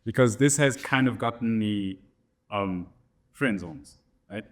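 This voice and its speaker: A man's voice: fairly low pitch, takes it slowly. A man in his thirties with a slight German accent, a DJ telling stories about his records in a casual interview, rambling and tagging his sentences with "right?". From Germany and Namibia. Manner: rambling, many restarts and "right?" tags. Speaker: DJ guest.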